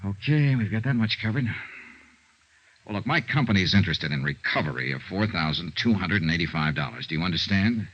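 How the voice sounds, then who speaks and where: An American actor playing a brisk, hard-nosed insurance investigator and narrator in a 1950s radio serial, with a clipped, dry, businesslike delivery. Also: male voice, low-pitched, expressive. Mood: pressing. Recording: muffled 1950s radio recording.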